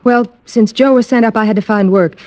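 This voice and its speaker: A poised woman in a vintage radio mystery, played by an actress, cool and measured. She speaks directly, on a dull, narrow-sounding old recording. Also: on the high side, a little mellow, lightly acted. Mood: cool, guarded. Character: cool, poised nightclub singer, female client seeking protection. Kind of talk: 1940s-50s radio drama acting.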